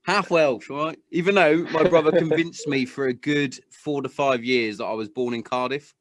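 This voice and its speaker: A man's voice: on the high side, fairly even intonation, moderate pace. A man from Hereford hosting a podcast, chatting in a blokey way and swearing freely. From Hereford, England. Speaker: podcast host. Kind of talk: casual podcast chat.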